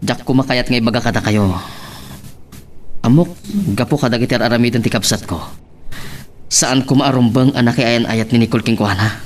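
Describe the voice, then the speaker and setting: A man's voice: mid-range voice, steady tone, moderate pace, long pauses, slight background noise, lightly acted. A man playing a stern, responsible older brother in a radio soap. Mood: respectful, rueful. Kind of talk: Philippine radio soap acting.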